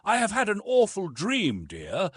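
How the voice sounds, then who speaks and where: A man's voice: high-pitched, huge pitch swings, unhurried, slightly rough, dry recording, clean studio sound, pitched above the performer's own voice, exaggerated voice. A man voicing a frail, dazed young English wife in an audiobook, relieved and trusting, with an emotive manner.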